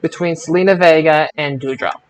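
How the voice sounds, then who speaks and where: Male podcast host, slightly high voice, informal and halting sports recap delivery. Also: fairly even intonation, poor audio. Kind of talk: casual wrestling results chat.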